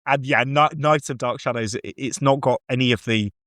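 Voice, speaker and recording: A man chatting casually about films, acting out bits of dialogue as he tells it in an animated way. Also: mid-range voice, a little fast, no echo, studio quality. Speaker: podcast guest film reviewer. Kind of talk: casual, chatty film discussion.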